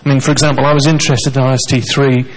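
A man stands in a large conference hall and asks his question into a handheld mic. He is a neurologist and speaks quickly and articulately in a mid-range voice. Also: mid-range voice, flat delivery. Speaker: neurologist in the audience. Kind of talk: question from the floor.

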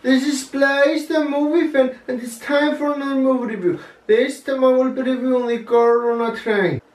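A man's voice: extremely high pitch, fairly even intonation, moderate pace. A young man giving a casual, blunt movie review, swearing freely as he talks it through. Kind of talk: casual spoken film review. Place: small plain room indoors, seated. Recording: roomy, mic at a distance.

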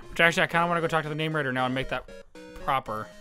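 A man chatting casually and excitably with friends as co-host of a gaming let's play. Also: on the high side, very fast. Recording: music in the background.

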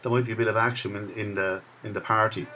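A man in his sixties talks his way along a riverside path, recalling old places in a relaxed, chatty way. His voice sits fairly low. He records on a camera mic outdoors, with a faint hum of town ambience behind him. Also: fast talker. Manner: chatty, reminiscing as he walks. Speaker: video blogger. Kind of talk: casual walking commentary.

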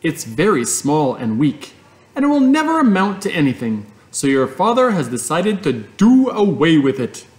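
A man's voice: a little high, wildly swooping pitch, moderate pace, a little mellow. A man voicing a practical farm mother who speaks firmly and matter-of-factly. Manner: firm, matter-of-fact.

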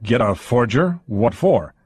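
A male narrator playing a gruff American air force colonel who grumbles curtly. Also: mid-range voice, animated, a little slow, a little mellow, no room sound, clean studio sound. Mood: grumpy. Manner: grumbling, curt.

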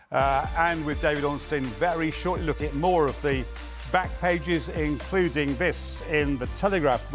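Higher-voiced male TV sports presenter. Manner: crisp, polished link-reading. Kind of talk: scripted TV presenting.

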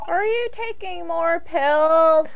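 A woman's voice: very high voice, takes it slowly, creaky, no room sound, narrow, tinny audio, exaggerated voice. An actress playing a blunt, nagging but concerned woman at home in a radio drama. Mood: chiding, concerned.

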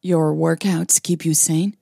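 A woman's voice: low-pitched, fairly even intonation, unhurried, gravelly, mellow, slightly rough, no echo, studio quality, exaggerated voice. A woman narrator voicing an awkward workaholic woman making polite conversation in an audio romance. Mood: trying again. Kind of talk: audio romance story dialogue.

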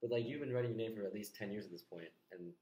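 A young man asks curious follow-up questions at a quick pace. His voice sits on the low side. He sounds casual, and his voice echoes in a small room. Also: dark tone. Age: young adult. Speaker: interviewer. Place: small bedroom, indoors.

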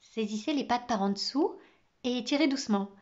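A woman's voice: fairly high pitch, moderate pace, darkish tone, dull audio, natural voice. A woman reading a children's storybook, voicing a cheerful, exuberant, eccentric female teacher with sing-song, enthusiastic exclamations.

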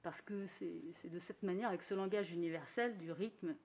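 A woman musician speaking in a thoughtful, earnest way during a radio conversation, sometimes laughing softly. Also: lowish voice, normal speed. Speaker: musician guest. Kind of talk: reflective conversation.

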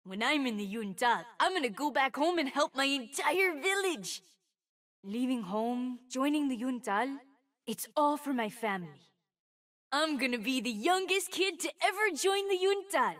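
Woman voicing an eager high-pitched young boy mage. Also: normal speed, distant mic. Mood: hopeful, earnest. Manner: excitable, earnest, childlike. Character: eager, sweet, boastful twelve-year-old boy fire mage. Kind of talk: video game character voice lines.

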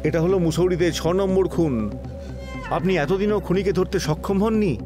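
A man's voice: high voice, moderate pace, music in the background, close to an everyday voice. Man playing a grave, anxious old priest. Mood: grave warning. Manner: earnest, emphatic, foreboding.

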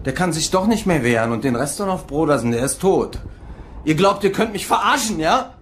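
An actor playing a blunt, impatient German investigator in a radio crime drama. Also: male voice, a little high, big pitch swings, fairly quick, slight background noise. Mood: confrontational.

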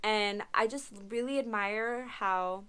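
A woman's voice: mid-range voice, takes it slowly, fairly bright tone, smooth. A young woman in her twenties chatting casually to a webcam in her bedroom, answering viewer questions with lots of "um" and "like". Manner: chatty, frequent "um" and "like", laughs often. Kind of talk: casual Q&A vlog. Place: small bedroom, indoors.

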